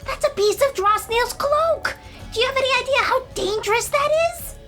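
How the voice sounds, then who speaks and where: A woman's voice: very high-pitched, normal speed, bright, forceful tone. A woman playing a confident, eager young sea-dweller warrior in a fantasy audio roleplay, speaking expressively and informally to the listener. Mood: shocked, alarmed. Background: music.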